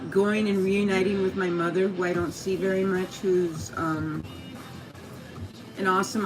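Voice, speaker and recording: Rambling Mexican American woman livestreaming. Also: on the low side. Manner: meandering, many fillers and restarts, greets viewers by name. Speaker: YouTube live streamer. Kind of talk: casual rambling livestream chat. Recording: noisy livestream audio.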